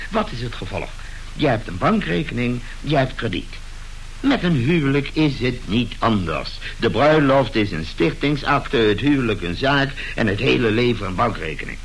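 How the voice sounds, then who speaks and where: A brusque middle-aged tycoon father in a radio play. His delivery swings widely in pitch with a creaky edge, pompous and officious, as though every family matter were a board meeting. The old recording has light background noise. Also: fairly bright tone, poor audio. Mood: businesslike, lecturing. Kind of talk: Dutch radio play acting.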